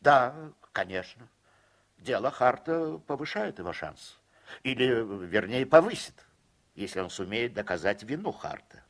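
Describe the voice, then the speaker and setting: A man acting in a radio play as an earnest, deferential judge, speaking in a deliberate and guarded way. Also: medium pitch, big pitch swings, a bit bright, exaggerated voice. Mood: guarded, uneasy. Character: earnest, deferential male judge with political ambitions.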